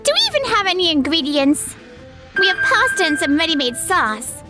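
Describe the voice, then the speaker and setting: A woman playing a spoiled, resentful wife in a comic dub, sharp and complaining. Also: very high voice, sing-song, moderate pace, over music. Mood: resentful nagging. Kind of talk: anime-style comic dub acting.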